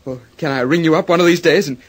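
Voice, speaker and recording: A man's voice: a little high, fast talker, husky. An actor playing an awkward, earnest young man in an old radio soap, hesitant and boyish, with a put-on American accent. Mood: bashful. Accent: American, put on by an Australian actor. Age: young adult. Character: awkward, earnest young law graduate and amateur composer.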